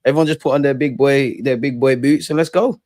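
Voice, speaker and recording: A man's voice: medium pitch, fairly even intonation, fast, darkish tone, no echo, clean studio sound. A man chatting casually about football, slangy and upbeat. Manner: laid-back, slangy, upbeat. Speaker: guest commentator.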